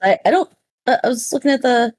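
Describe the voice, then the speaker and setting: A woman podcast guest chatting casually in a flustered, excitable, self-deprecating way. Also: high-pitched, fast talker. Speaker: podcast guest, voice actor and writer. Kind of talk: casual chat.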